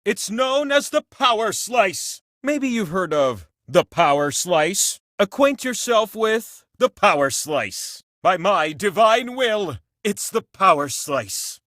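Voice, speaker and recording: Casting: whimsical all-powerful game show wheel, high voice, very animated swings, gushing and theatrical. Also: male voice, slow pace, hardly any pauses, no room sound, clean studio sound, full character voice. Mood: grandiose, teasing. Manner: gushing, mock-grand, playful exclamations. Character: whimsical, grandiose godlike trivia wheel host, excitable and doting. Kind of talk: theatrical scripted game host lines.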